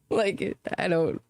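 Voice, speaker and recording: Woman host, gravelly edge, dry close sound, sardonic running commentary on a courtroom feed. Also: lowish voice, darkish tone, dry recording. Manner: chatty, sardonic, laughs often. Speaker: true crime commentator. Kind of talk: casual live trial commentary.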